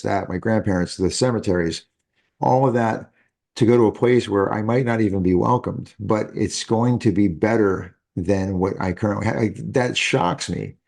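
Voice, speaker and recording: A lowish-voiced man hosting a podcast conversation. He talks emphatically, pressing his point and thinking aloud, with restarts along the way. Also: creaky, a little mellow. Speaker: podcast host.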